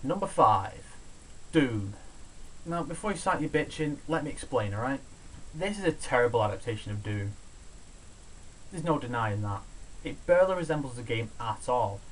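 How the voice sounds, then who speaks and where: A man's voice: mid-range voice, rough recording. A man reading a scripted countdown review in a sardonic, opinionated, sweary manner. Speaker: video reviewer, host of a countdown show. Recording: steady background hiss, amateur home recording.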